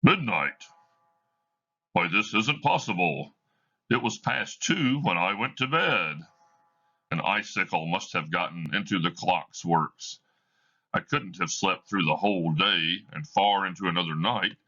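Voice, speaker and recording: A man's voice: sits low, expressive, a little slow, sharp tone, highs cut off, heavily acted. A man voicing an old miser, speaking in an agitated, questioning way. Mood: alarmed. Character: old male miser, startled and fretful.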